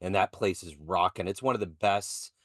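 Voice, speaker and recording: A man's voice: low-pitched, normal speed, fairly bright tone, dry recording, clean studio sound. A man hosting an interview podcast, asking questions in a casual, upbeat way. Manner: upbeat, conversational, enthusiastic about local sports. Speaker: podcast host.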